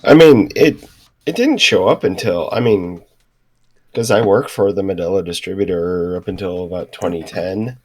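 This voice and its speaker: A man with a low voice, a little thick from being sick. He chats loosely on a beer show, rambling and full of filler words. The sound is close and dry. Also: normal speed, no room sound. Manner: rambling, hesitant, vamping with fillers. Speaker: podcast co-host. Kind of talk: casual chat.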